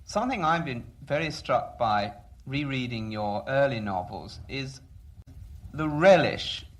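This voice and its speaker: A man conducts a literary interview. He phrases his questions politely and tentatively, in a voice of middling pitch. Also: lively intonation, unhurried.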